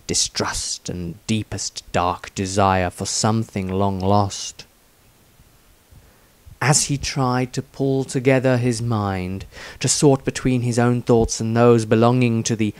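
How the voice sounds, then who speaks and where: A man narrates a fantasy tale in a medium-pitched voice. He takes his time, placing phrases deliberately for suspense and drama. The recording is close and dry, with very little room sound. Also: darkish tone, clean tone, dry recording. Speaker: author reading own story.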